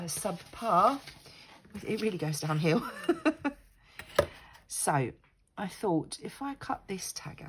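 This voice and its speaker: Older lady crafting at her table, stuffed up nose, low gravelly voice, slow rambly chat, bit of noise behind. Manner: chatty, rambling, self-deprecating. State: congested from a cold. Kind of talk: casual crafting tutorial chat. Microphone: overhead camera above craft mat.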